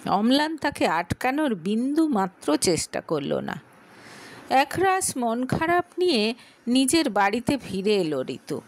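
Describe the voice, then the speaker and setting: A woman narrates a dramatic audio story in a high voice. Her delivery is unhurried and very animated in pitch, like a scripted tale read for listeners. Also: no echo.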